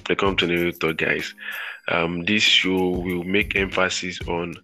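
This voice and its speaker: A man's voice: deep voice, little pitch movement, sharp tone, faint music behind. A male podcast host, chatting casually and restarting his sentences often. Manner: halting, many restarts and repeated words.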